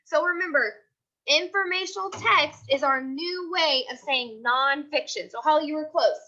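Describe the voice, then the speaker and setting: An American woman with a high, bright voice reads aloud as an elementary teacher. She explains things simply and repeats key points for young listeners. The recording is a little dull and lo-fi. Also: moderate pace. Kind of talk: teaching read-aloud of a picture book with questions and asides.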